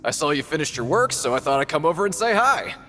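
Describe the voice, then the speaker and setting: A chummy old hand of a prison workshop in a video game. The voice is fairly high for a man, with lively, folksy phrasing and a touch of self-importance, over background music. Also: fast. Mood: friendly. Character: affable veteran male prison factory worker, sociable and self-important. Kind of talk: animated video game voice acting.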